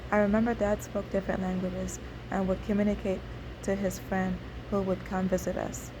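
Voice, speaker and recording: Woman narrator, memoir reading, level monotone delivery, clear background hiss. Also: medium pitch. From Nigeria. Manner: steady, unhurried reading with occasional restarts. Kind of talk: reading her memoir aloud.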